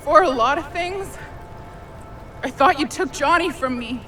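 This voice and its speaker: A woman's voice: high-pitched, measured pace, sharp tone, soft background music. An actress in an audio drama playing a remorseful, caring young woman who talks earnestly and plainly, with a wry streak.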